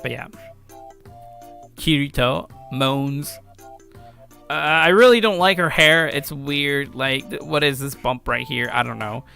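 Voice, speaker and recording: A man's voice: medium pitch, slow pace, gravelly, long pauses, bright, forceful tone. A young adult man giving casual, rambling let's play commentary as a video host, offhand and self-deprecating about his own work. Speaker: video host and amateur game creator. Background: background music.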